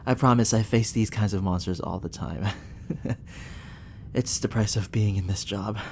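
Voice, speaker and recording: A man's voice: on the low side, moderate pace, a little mellow, a little hiss or hum, lightly acted. A young man playing a flustered, protective barista who is secretly a time agent, in an ASMR sci-fi roleplay, speaking with stammers. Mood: weary, apologetic. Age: young adult. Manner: stammering, breathy sighs and nervous laughs. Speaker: roleplay actor.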